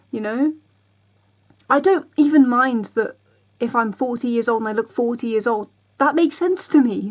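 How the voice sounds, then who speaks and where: A woman, talking casually straight to camera, rambling and repeating herself. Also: fairly high pitch. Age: 20s. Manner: chatty, repeats herself, voices other people's reactions. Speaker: vlogger. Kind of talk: casual ranting vlog.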